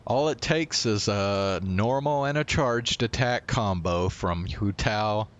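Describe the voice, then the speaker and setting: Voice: mid-range voice, takes it slowly, slight background noise. A game streamer giving casual commentary while playing.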